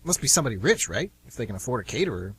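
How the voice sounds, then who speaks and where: A man's voice: medium pitch, big pitch swings, rapid-fire, slight background noise, close to an everyday voice. A man playing a shifty, easygoing younger brother and small-time thief in an amateur radio drama, talking in an offhand, slangy way. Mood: shifty, casual.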